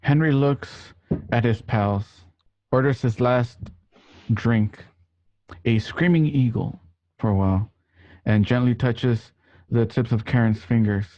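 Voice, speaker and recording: A man with a mid-pitched voice hosts and narrates a radio play. He is a little halting as he goes. The audio is dry and slightly dull, as from an online call. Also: mellow, no room sound. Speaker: host and scene narrator. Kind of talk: reading stage directions, hosting an online radio play. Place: home, remote online recording.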